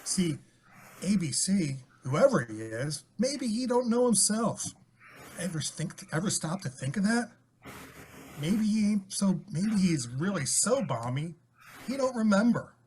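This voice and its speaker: A man's voice: high voice, big pitch swings, unhurried, a little mellow, slight background noise. A man playing a chatty, earnest, plain-spoken young man in a radio play. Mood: musing. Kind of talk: old-time radio play acting.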